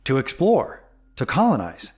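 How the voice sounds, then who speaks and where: A man's voice: medium pitch, huge pitch swings, unhurried. An actor playing a curious, practical, plain-spoken man who keeps questioning in a sci-fi audio drama.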